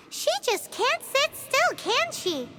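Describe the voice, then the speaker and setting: A woman voicing a tiny, excitable, childlike female fairy companion in an anime-style video game, squeaky and exclaiming. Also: extremely slow, heavily acted, poor audio. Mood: wry. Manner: squeaky, exclaiming, refers to self in third person. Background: music.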